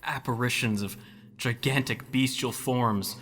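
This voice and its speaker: Radio horror: cavernous, faintly noisy sound, male actor as eerie witness, slow and ominous. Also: mid-range voice, fairly even intonation, a bit bright, natural voice. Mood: haunted, ominous. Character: eerie townsman, slowly recounting monstrous apparitions. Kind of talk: radio horror drama acting. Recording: long cavernous reverb, noisy ambience.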